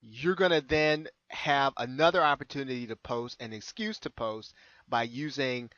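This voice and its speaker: An American man giving an unscripted screen-share tutorial as a consultant, halting and often repeating his phrases. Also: mid-range voice, a bit bright, no room sound. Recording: dull lo-fi close recording.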